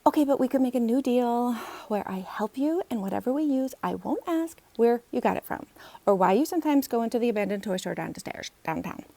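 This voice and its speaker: A woman's voice: fairly high pitch, animated, a little fast, vocal fry, mellow, dry recording, voice pushed up. A woman voicing a dramatic, bossy, cheeky young girl detective in a children's storybook, with a theatrical, insistent manner. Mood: eager.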